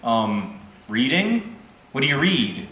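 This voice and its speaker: A man's voice: medium pitch, very animated, slow, bright, forceful tone, heavily acted. A man voicing a reserved, wry, bookish teenage girl in a live novel reading, with hesitant, dry delivery. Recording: roomy live event, faint background noise.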